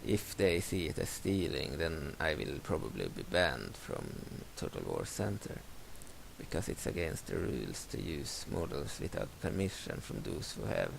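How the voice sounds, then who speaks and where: Halting man, deep and gravelly. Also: big pitch swings, slight background noise, poor audio. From Sweden. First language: Swedish. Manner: halting, self-interrupting, frequent stammered repeats. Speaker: gameplay video maker. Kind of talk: let's play commentary. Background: loud game music.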